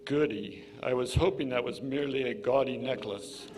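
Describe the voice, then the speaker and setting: A man's voice: medium pitch, moderate pace, background noise, natural voice. A man playing a pompous, snide radio host in a comedy sketch, with a haughty, sarcastic delivery. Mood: dryly sarcastic. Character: pompous, snide male radio host judging child auditions. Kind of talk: scripted comedy sketch in old-time radio manner.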